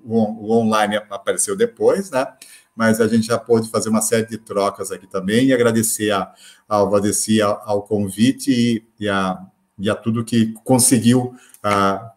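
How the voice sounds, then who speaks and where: A middle-aged professor with a somewhat low voice. He gives closing thoughts over a laptop webcam from a book-lined study, halting and restarting as he speaks. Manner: hesitant, many restarts and filler words. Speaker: university professor, panel guest. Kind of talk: closing remarks on a video call panel. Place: home study with bookshelves. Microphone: laptop webcam on video call.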